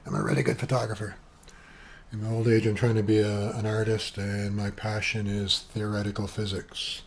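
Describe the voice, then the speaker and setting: A soft-voiced man in his seventies from Canada talks on and on in a low, fairly flat voice, full of asides and fillers. He is recorded at home on a webcam with some external sound gear. A faint hiss sits behind him. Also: moderate pace, creaky. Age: 70s. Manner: chatty, eccentric, wandering with asides and fillers. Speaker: vlogger. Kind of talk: rambling personal monologue. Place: at home, sitting at his computer.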